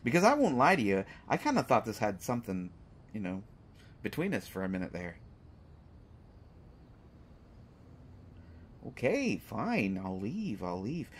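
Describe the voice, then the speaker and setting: A man playing a flirtatious, teasing superhero who is secretly smitten, in a halting, playful conversational way for a roleplay audio drama. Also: on the low side, wildly swooping pitch, a little hiss or hum. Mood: wounded, coaxing. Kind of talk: roleplay audio drama, acted monologue to listener.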